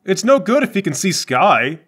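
Animated man with a higher voice. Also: normal speed, breathy edge. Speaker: tabletop game player. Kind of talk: improvised comedy banter.

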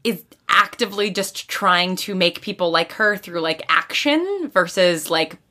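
Podcast guest, woman w/ bright slightly low voice, super informal, says like a lot. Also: lively intonation, a little slow. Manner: informal, peppered with "like". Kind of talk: casual chat, speculating about fiction.

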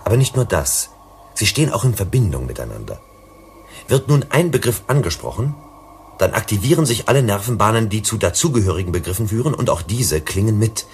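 An actor playing an earnest, persuasive psychologist in a German sci-fi radio drama, explaining and pleading. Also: male voice, fairly low pitch, big pitch swings, a little fast, slight background noise. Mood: calm, explanatory. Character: earnest, persuasive male company psychologist and negotiator.

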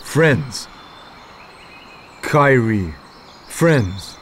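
Earnest feral man, game role. Halting broken words, drawn out, faint jungle noise behind. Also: medium pitch, lively intonation. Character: wild jungle man, simple, earnest, learning speech. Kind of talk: video game voice acting.

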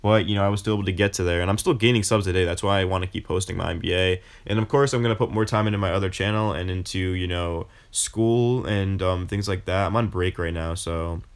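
Rambling young man, low voice. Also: fairly even intonation, fast, hardly any pauses. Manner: rambling, many fillers and restarts. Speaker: gaming YouTuber. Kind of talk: casual gameplay commentary.

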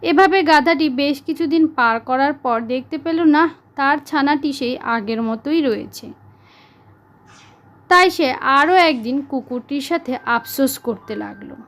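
A woman narrating a children's animal fable in a deliberate, expressive way. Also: high-pitched. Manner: slow, deliberate, expressive storytelling. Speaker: storyteller.

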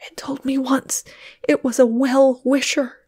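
Scared old country lady, urgent and teary, read by a woman, a bit high. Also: level tone, a little slow, a little mellow, slightly rough. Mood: fearful. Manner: urgent, tearful. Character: frightened elderly woman, lonely, rheumatic.

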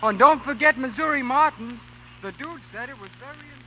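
A man's voice: very high-pitched, quick pace. A man acting in 1930s radio drama, playing a complaining street pencil peddler. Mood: whiny, friendly. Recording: dull, faintly noisy 1930s radio recording.

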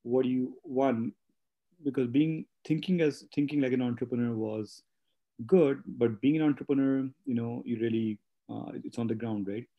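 A man's voice: medium pitch, normal speed, dull audio. A man in his late thirties from India, talking through his career in a casual interview, rambling with many fillers and restarts. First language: not English. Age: late 30s. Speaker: guest, spa business owner.

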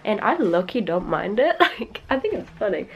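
A young woman with a hoarse voice that has gone deep from a cold, chatting casually to a handheld camera, giggly and rambling with many fillers. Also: very animated, normal speed. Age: young adult. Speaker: vlogger. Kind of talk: casual vlog chat. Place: bedroom at home, then staff room at work. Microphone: handheld camera at arm's length.

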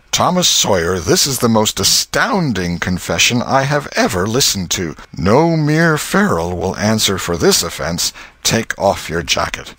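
A man with a low voice narrates classic fiction. His delivery is measured and scripted, that of an audiobook storyteller. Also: a bit bright, dry recording.

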